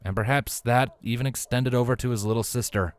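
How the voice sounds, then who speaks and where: A man's voice: medium pitch, brisk, no room sound. A man reading scripted fiction aloud as a storyteller, in the style of an audiobook.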